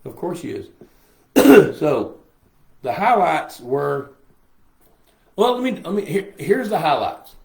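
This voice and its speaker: Hoarse older man, folksy. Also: fairly high pitch, big pitch swings, slow pace, long pauses. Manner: chatty, folksy, reads viewer comments aloud. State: hoarse from a lingering cough. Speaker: host. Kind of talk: casual livestream chat. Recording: roomy echo on a live stream.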